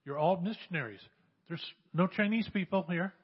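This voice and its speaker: A man in his sixties giving an animated church testimony, exhorting and repeating phrases for emphasis. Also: high-pitched, normal speed, slightly rough, muffled recording. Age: 60s. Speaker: church speaker sharing mission testimony. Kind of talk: sermon testimony.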